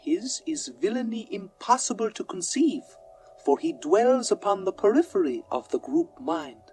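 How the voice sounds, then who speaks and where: A man's voice: sits high, expressive, moderate pace, darkish tone, faint music behind, poor audio. A chubby alien detective in a radio drama. He is humble and obsequious, speaking in stilted, flowery phrases with great formality. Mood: earnest, courteous. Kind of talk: radio play acting.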